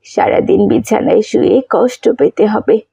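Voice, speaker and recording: A woman voicing an affectionate elderly village grandmother in a children's cartoon, tender and gently fussing. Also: medium pitch, unhurried, gravelly, husky, no echo, lo-fi sound, full character voice. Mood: caring, fussing. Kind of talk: children's Islamic cartoon story acting.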